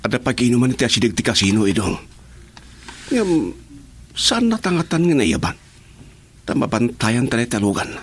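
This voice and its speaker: A man's voice: mid-range voice, big pitch swings, normal speed, pauses often, a little hiss or hum, close to an everyday voice. An actor with an Ilocano accent playing a joking, servile henchman who smokes and drinks, in a radio drama. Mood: grumbling, teasing. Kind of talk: Filipino radio drama acting.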